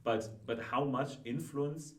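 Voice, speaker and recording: A student guest talking casually on a podcast, hesitant and full of restarts. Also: male voice, medium pitch, slow pace. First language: not Dutch. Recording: roomy, from far off.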